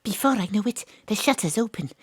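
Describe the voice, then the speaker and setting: An actress playing a quirky, hard-boiled private detective, narrating her case in a theatrical, deadpan noir style with a breathy voice. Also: medium pitch, a little fast, dry recording, studio quality. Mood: confiding, suspenseful. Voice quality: husky, breathy. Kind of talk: first-person audio drama narration. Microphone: close studio mic, home studio.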